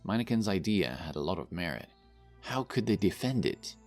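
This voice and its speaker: A man's voice: low-pitched, big pitch swings, normal speed, darkish tone, soft background music. A man narrating a scripted fantasy story as the narrator of an audio drama.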